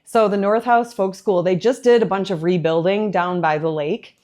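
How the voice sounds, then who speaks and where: A woman hosting a knitting podcast, chatting casually to camera in an excitable, rambling way. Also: medium pitch, moderate pace. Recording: echoey room with faint background noise.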